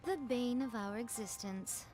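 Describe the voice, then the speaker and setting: A woman's voice: a little high, unhurried, lightly acted. A woman playing a poised, sly fox shrine maiden who works as a demanding editor in an anime video game, speaking in a teasing, condescending way. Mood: dramatic, gracious. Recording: game music behind.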